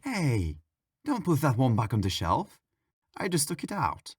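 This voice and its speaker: A man's voice: medium pitch, wildly swooping pitch, a little slow, slightly rough, no room sound, exaggerated voice. A man playing a petulant, mischievous ghost who haunts a library, talking in a sassy, casual, complaining way in an ASMR audio roleplay. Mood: sassy, grumbling.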